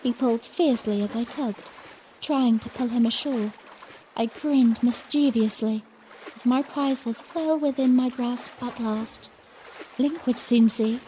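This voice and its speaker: Young princess , female actor, high and expressive, tender yet spirited, storybook audiodrama narration and dialogue. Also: measured pace, a little hiss or hum, lightly acted, rough recording. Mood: earnest, wistful. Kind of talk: first-person audiodrama narration with acted dialogue.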